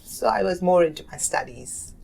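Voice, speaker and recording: A woman's voice: on the low side, expressive, normal speed, gravelly, a little mellow, slightly rough. A woman in her thirties from South Asia, a native Hindi speaker, giving hesitant interview answers with many fillers and restarts. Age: 30s. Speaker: oral history narrator. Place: community center room.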